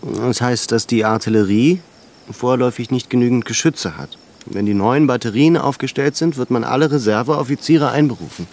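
A man's voice: medium pitch, moderate pace, darkish tone, natural voice. An actor playing a thoughtful, controlled middle-aged Armenian father and reserve officer in a radio drama. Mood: grave, calm. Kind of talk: naturalistic German radio drama acting.

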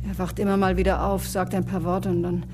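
A woman's voice: on the low side, a little fast, noisy background, lightly acted. An actress playing a sober, matter-of-fact woman with medical training in a crime radio drama. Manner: calm, factual. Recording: faint music behind.

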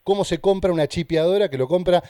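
An Argentine male journalist keeps pressing an official, repeating his pointed questions without letting up. His voice is mellow and low in tone. The sound is dry and close. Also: fast, smooth, dry recording. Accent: Argentine Spanish. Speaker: radio journalist. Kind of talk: confrontational interview.

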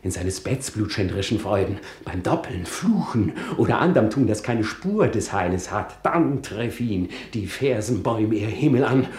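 An actor playing a bitter, resolute, vengeful prince in a radio tragedy, declaiming his monologue deliberately. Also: lowish voice, animated, darkish tone, roomy sound, close to an everyday voice. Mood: vengeful, brooding. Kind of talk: classical stage tragedy radio acting.

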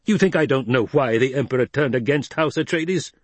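A man's voice: medium pitch, animated, brisk, no room sound, voice pushed up, lightly acted. A man voicing a shrewd, bony old adviser in an audiobook, incisive, probing and contemptuous. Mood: snappish, scornful. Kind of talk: dramatized audiobook reading.

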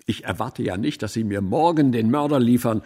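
An actor playing an impatient police president in a radio drama, sarcastic and blustering, firing pointed questions. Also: male voice, mid-range voice, brisk, mellow, slightly rough, close to an everyday voice.

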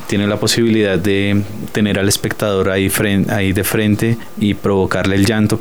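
Low-voiced Colombian man in interview. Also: slight background noise. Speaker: theatre group director and interviewee. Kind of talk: spontaneous interview testimony.

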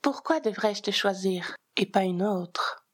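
A woman's voice: medium pitch, a little slow, natural voice. A woman voicing a powerful, amused witch who rules a coven, honeyed, teasing and self-assured, in a narrated story. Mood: honeyed challenge.

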